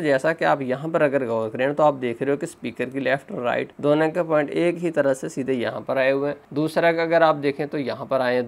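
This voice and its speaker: A man narrating a hands-on repair tutorial step by step, often addressing viewers as friends. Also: a little high. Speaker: phone repair instructor.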